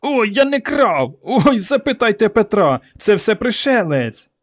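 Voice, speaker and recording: A man voicing a teenage village son in a story, a cheeky lad quick to boast or whine. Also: mid-range voice, animated, lightly acted. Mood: yelping, pleading. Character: cocky teenage village son who turns whining and pleading.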